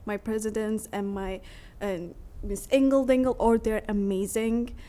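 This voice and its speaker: A young woman, a graduating student from Turkey whose first language is Somali, talking in halting, earnest English at a school board meeting. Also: mid-range voice, fairly even intonation, dark tone. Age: young adult. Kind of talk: speaking at a public meeting. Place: meeting room.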